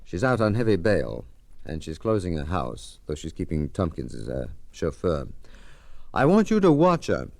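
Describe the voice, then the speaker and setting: Commanding low-voiced detective. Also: male voice, wildly swooping pitch, creaky, darkish tone, dry recording, studio quality, heavily acted. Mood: grave, instructing. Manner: measured, deliberate, authoritative questioning. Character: shrewd, commanding male police detective. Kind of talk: 1940s radio mystery acting.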